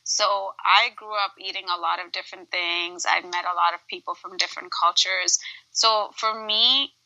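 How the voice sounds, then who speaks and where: Guest: a bright-toned woman in her thirties from Dubai, animated and chatty, dull lo-fi podcast sound. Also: on the low side, normal speed, creaky. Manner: animated, chatty, laughs often. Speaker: podcast guest, home cook. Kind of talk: casual interview chat.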